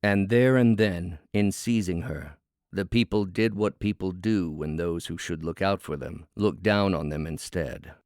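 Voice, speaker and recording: A man's voice: low voice, darkish tone, dry recording, studio quality. A man narrating a poetic fable as a storyteller, with theatrical, verse-like phrasing.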